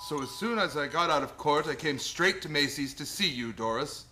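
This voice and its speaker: A man plays a heartfelt old Santa Claus for radio. His voice is bright and clear-edged, a bit high, with a kindly, sincere way of talking. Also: lively intonation, normal speed, faint music behind. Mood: glad, warm. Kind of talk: radio play acting.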